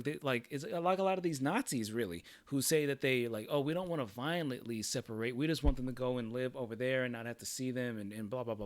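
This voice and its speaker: A man's voice: medium pitch, no echo. A man hosting a livestream, reacting casually in a rambling, profane way, often repeating "you know what I'm saying.". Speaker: livestream host.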